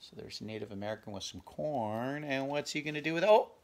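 A man in his fifties with a gravelly voice reads a picture book aloud, adding chatty, conversational asides for young listeners. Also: medium pitch, lively intonation, a little fast. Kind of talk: children's read-aloud with casual asides. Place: small furnished bedroom, indoors.

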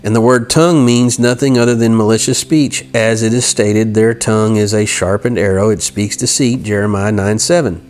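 A man in his fifties giving an informal devotional lesson, reading aloud and explaining in a halting way, often correcting himself. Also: fairly low pitch, fairly even intonation, darkish tone. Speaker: devotional teacher and host. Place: indoor room in front of a large window. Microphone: lavalier clipped to shirt.

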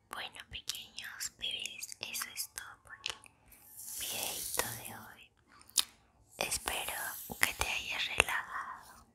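A young woman delivers ASMR in an unvoiced, breathy whisper right up at the mic. She goes slowly and soothingly, leaving deliberate pauses, with very little movement in pitch. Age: 20s. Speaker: ASMR host. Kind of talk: whispered ASMR poetry reading and chat. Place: small room at home, indoors. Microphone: large foam-covered microphone very close to mouth.

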